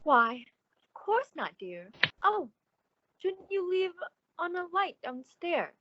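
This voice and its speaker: A woman's voice: extremely high pitch, sing-song, takes it slowly, no echo, dull audio, heavily acted. A woman playing a genteel, uneasy, concerned friend in an old-time radio suspense drama, speaking politely and hesitantly with theatrical expression.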